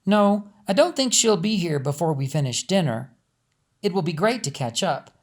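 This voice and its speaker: A man's voice: high-pitched, lively intonation, normal speed. Man voicing a firm, proper family matron. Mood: composed.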